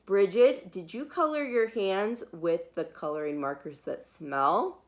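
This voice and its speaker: A woman's voice: mid-range voice, lively intonation, unhurried, lightly acted. A woman voicing an easily alarmed mother from a children's storybook, exclaiming and fretting with heavy emphasis. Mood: suspicious, dismayed.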